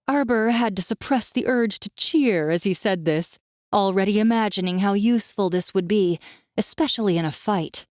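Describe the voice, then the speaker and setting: A female audiobook narrator telling a fantasy story from a script. Her voice sits at an average pitch in a dry, close recording. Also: moderate pace, a little mellow, no room sound.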